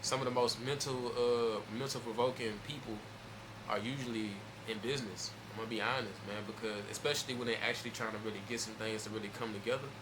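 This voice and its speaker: A man's voice: on the low side, fast. Emphatic man reacting, noisy room. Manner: loose, emphatic, talks straight to viewers. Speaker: reaction video host. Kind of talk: casual reaction commentary. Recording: steady background noise.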